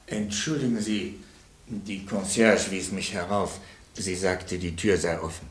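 Radio drama: polite male stranger, deep and clipped, roomy acoustic. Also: steady tone, a little slow. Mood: formal. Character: polite, formal male stranger arriving unannounced. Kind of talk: German radio drama acting. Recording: roomy echo.

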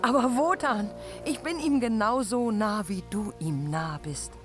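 An actress playing a god's devoted, defiant daughter in a fantasy radio drama, earnest and pleading in manner. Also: female voice, a little high, a little slow, natural voice. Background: dramatic music.

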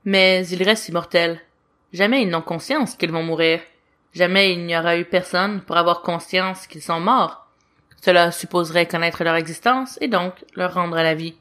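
A woman narrating a story as an audiobook reader, with expressive storytelling delivery. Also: fairly low pitch, normal speed. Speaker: audiobook reader of fan fiction.

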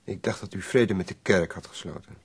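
Low, very gravelly, polite male visitor. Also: a little fast, heavily acted. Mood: polite, questioning. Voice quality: raspy, very creaky. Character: concerned, deferential male visitor to an ailing master. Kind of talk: restrained radio drama acting.

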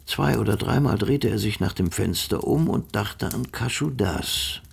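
A man's voice: lowish voice, expressive, measured pace, gravelly, breathy edge. A man narrating a radio drama from a script as the storyteller.

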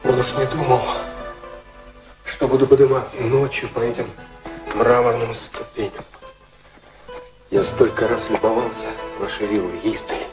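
An actor playing a poor young violinist in a radio play, shy, hesitant, apologetic and grateful. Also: male voice, mid-range voice, fairly even intonation, normal speed, long pauses, music in the background, lightly acted. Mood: bashful. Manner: hesitant, apologetic, self-effacing.

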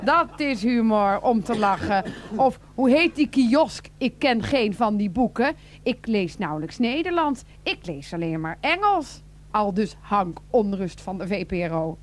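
A woman acting a sharp-tongued, mocking woman in a satirical radio play, with a sarcastic, bossy, theatrical delivery. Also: mid-range voice, very animated, a little slow, slight background noise. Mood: mocking, challenging.

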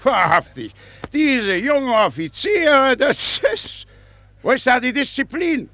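An older army colonel in a radio play, pompous and irate. His voice is high and sharp, swinging widely as he blusters and lectures with heavy sarcasm. The sound is dry and close. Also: no room sound, full character voice. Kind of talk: German radio play acting.

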